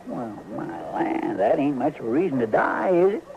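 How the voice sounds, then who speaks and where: A man playing an excitable, nosy, rustic frontier sidekick with a stammering, countrified American drawl in an old radio western. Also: medium pitch, moderate pace. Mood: curious, puzzled. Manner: stammering, repeats words when flustered. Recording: noisy, muffled old radio recording.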